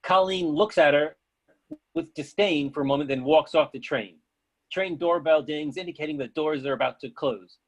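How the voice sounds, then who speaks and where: A man's voice: fairly high pitch, normal speed, poor audio. A man giving scripted narration as the reader of a play's stage directions.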